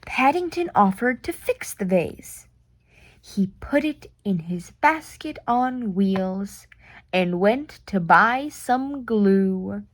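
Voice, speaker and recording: A woman reading a children's picture book aloud in an expressive, deliberate way with playful reactions. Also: mid-range voice, no room sound.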